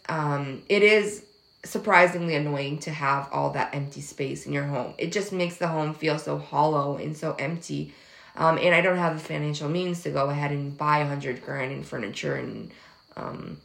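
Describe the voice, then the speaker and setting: A woman in her twenties whose first language is French, hosting a podcast in a casual personal monologue, soft, hesitant and earnest, pausing often. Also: low voice, vocal fry. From United States. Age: 20s. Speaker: podcast host. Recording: echoey room.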